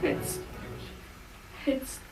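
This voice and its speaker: A woman's voice: extremely slow, a little mellow. A woman storyteller voicing an anxious young princess, pleading and talking to the children. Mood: worried. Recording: roomy live stage sound from far off, faint music.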